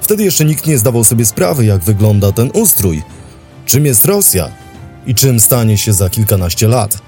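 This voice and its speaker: A man hosting a history podcast, delivering scripted documentary narration in a measured, dramatic storytelling manner. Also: on the low side, big pitch swings, warm tone, faint music behind.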